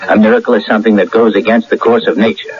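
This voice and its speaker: An actor playing an earnest, excitable and stubborn ordinary man in an emphatic, theatrical radio drama style. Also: fairly low pitch, fast, natural voice. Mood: emphatic, insistent. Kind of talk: 1980 American radio drama acting. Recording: dull lo-fi old radio broadcast.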